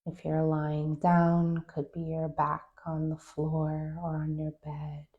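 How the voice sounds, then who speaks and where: A woman leading a guided meditation, giving calm, gentle, unhurried instructions with long pauses. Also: deep voice, highs cut off. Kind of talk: guided meditation, soft scripted-sounding instruction.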